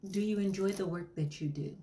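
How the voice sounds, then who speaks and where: A woman doing a hushed, whispery therapist. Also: mid-range voice, close to an everyday voice. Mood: gentle. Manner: hushed, breathy near-whisper, slow and gentle. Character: soft-spoken calm female therapist, patient and probing. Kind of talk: comedy sketch acting.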